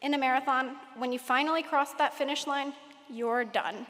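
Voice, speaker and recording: A teenage girl giving a prepared valedictorian speech at a graduation, measured and lightly humorous. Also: high-pitched, fairly even intonation, fairly bright tone. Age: late teens. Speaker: valedictorian speaker. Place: large hall at a graduation ceremony. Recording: echoey hall with long reverb.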